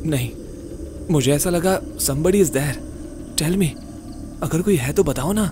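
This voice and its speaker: A man playing an earnest, excitable young ghost-case solver in a horror-comedy audio drama, colloquial and mixing in English. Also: on the high side, slow pace, noisy background. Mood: tender, longing. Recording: dramatic music under voice.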